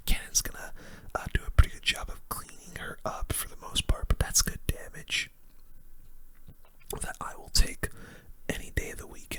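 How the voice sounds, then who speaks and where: This is a gaming host who speaks entirely in a whisper, close to the mic. The sound is bright and crisp on top, with a relaxed delivery suited to ASMR game commentary.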